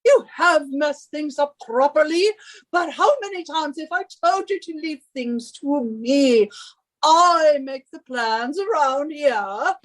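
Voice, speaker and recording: A woman's voice: sits high, animated, slow pace, poor audio. A woman playing an imperious, scornful, middle-aged British wife who scolds and gives orders in a radio suspense drama. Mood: exasperated, domineering.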